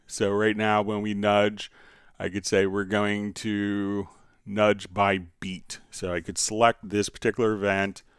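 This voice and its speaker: Male tutorial host, lower-pitched and smooth, close dry sound, casual step-by-step instructor delivery. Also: flat delivery, a little slow, no room sound. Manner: explanatory, step-by-step. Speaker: livestream host, software instructor. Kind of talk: casual software tutorial, answering viewer questions.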